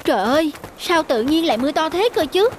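A woman voicing a sharp-tongued, pert young female street vendor in a cartoon. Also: high-pitched, animated, slight background noise. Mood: pained, distressed. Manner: pert, quick to argue. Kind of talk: cartoon dubbing. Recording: music behind the voice.

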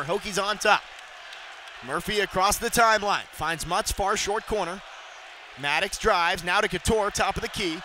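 A young man calling radio basketball play-by-play, rattling off plays and shouting on big moments. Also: high-pitched, a little hiss or hum. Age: young adult. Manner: rapid excited calls, shouting on big plays. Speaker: play-by-play announcer.

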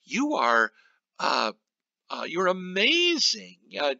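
Man, pastor, Bible study commentary, higher-pitched voice, very bright and sharp, halting and reflective, dry slightly dull recording. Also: wildly swooping pitch, gravelly, dry recording. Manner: halting, reflective teaching with scripture quotations.

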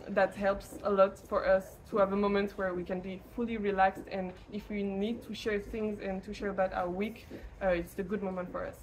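A young French woman speaks English with a clear French accent, pausing and hunting for the right words. Her voice sits at an ordinary middle pitch. A faint hiss lies under the recording. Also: low-quality recording. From France. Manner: halting, searches for English words. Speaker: lay missionary teacher. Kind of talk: casual testimonial to camera.

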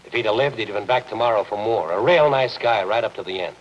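A man's voice: lowish voice, fast, highs cut off, natural voice. An actor in his forties playing a persistent, world-weary city reporter in a 1950s noir television drama, dry and sardonic. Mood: wry, world-weary. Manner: dry, sardonic, hard-boiled. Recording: noisy 1950s film soundtrack.

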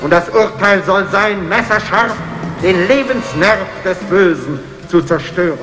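Echoing, high-pitched fanatical cult man. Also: a little slow, music in the background. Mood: solemn, menacing. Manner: declamatory, ceremonial. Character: fanatical secret-brotherhood man chanting a vengeful judgement. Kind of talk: solemn incantation, 1960s German radio drama. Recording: long echoing hall reverb.

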